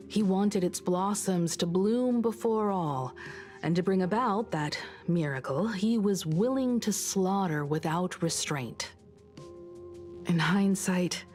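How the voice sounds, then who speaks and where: An actress voicing a grave, brooding senior investigator in a video game, speaking in a measured, solemn way. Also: female voice, fairly low pitch, a little mellow. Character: grave, brooding senior investigator woman, troubled by past tragedy. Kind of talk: dramatic video game voice acting.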